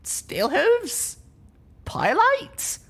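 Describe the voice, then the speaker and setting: A man's voice: very high voice, huge pitch swings, extremely slow, a bit bright, pitched above the performer's own voice, full character voice. A man voicing a wary, anxious young mare heroine who speaks with hesitant urgency in a story reading. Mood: worried calling.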